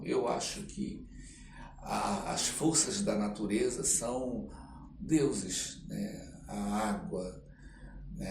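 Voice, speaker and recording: A man's voice: lowish voice, creaky. Older Brazilian man in a roomy recording. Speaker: interview guest, artist. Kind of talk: conversational storytelling. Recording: roomy, distant mic, faint noise.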